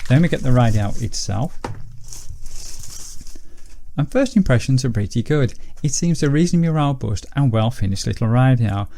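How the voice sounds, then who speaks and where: A man's voice: mid-range voice, animated, normal speed, creaky, pauses often, dark tone. A man presenting a casual product review in a methodical, explanatory way. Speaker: host of gadget review videos.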